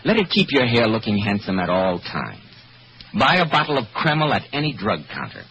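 A man's voice: on the low side, wildly swooping pitch, moderate pace, bright, forceful tone. A man with an American accent announcing and selling in polished, emphatic 1940s radio style. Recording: dull, noisy 1940s radio recording.

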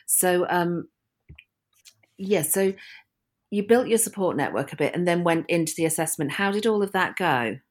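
A woman's voice: fairly low pitch, lively intonation, moderate pace, long pauses. A woman, hosting a podcast interview in a chatty, friendly way and laughing often. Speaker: podcast host. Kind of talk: casual interview.

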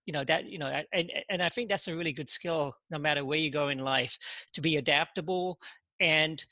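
A man, a podcast guest telling his story in casual interview talk, halting and full of "you know" and repeated words. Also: fairly high pitch, sharp tone, no echo, clean studio sound. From England.

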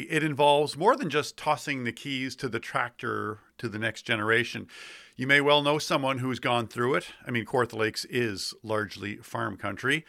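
A man's voice: medium pitch, gravelly, bright, forceful tone. A man hosting an interview, chatty and thinking aloud with casual asides. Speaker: podcast host. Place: studio table.

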